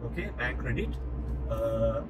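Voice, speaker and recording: A man in his forties explaining like an accounting tutor, in a halting, repetitive, step-by-step way. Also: expressive. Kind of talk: informal explanatory lesson. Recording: noisy car interior with road rumble.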